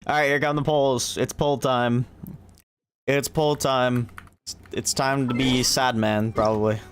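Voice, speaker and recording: A man livestreaming a game, chatting casually and swearing as he talks to himself and the game. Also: mid-range voice. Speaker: gaming livestreamer. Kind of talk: casual livestream chat while playing. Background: video game music.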